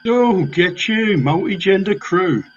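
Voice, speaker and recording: A man's voice: high voice, lively intonation, slow, lo-fi sound, soft background music, heavily acted. A man playing a cheeky, sarcastic crewman who mocks others in a comedy radio play. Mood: mocking. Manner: mocking.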